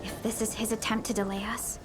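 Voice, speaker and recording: A woman's voice: a little high, little pitch movement, fairly quick, lightly acted. A woman voicing an earnest, observant young warrior ally in a video game, thinking aloud analytically. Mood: wary, concerned. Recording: loud music behind the voice. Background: loud game music.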